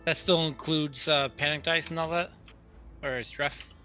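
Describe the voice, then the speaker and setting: Sharp, higher-pitched man at a game table. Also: fairly even intonation, a little slow, muffled recording, faint music behind. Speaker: player in a tabletop roleplaying game. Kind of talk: casual game chat.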